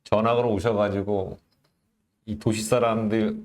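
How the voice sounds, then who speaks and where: Calm interviewer with a mid-range voice. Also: mid-range voice, a little slow. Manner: calm, with short backchannel responses. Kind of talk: interview questions and listening.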